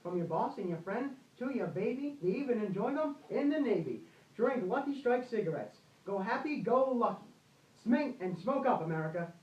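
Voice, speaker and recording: A man's voice: high voice, very animated, normal speed, a little mellow, muffled recording. A young man playing a gushing, folksy host in an amateur 1920s radio broadcast. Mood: peppy sales pitch. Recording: roomy, distant-miked amateur recording, thin sound.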